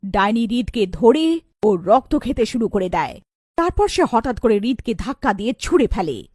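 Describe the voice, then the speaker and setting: A woman's voice: a little high, sing-song, normal speed, a little mellow, no echo, clean studio sound. A woman narrating a scripted cartoon story as its storyteller.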